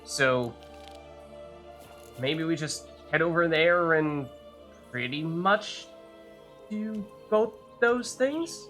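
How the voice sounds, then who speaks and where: A man's voice: fairly high pitch, lively intonation, drawn-out words, pauses often, sharp tone, soft background music. A man giving casual live commentary on a video game, chatting and thinking aloud as he plays. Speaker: game streamer, host.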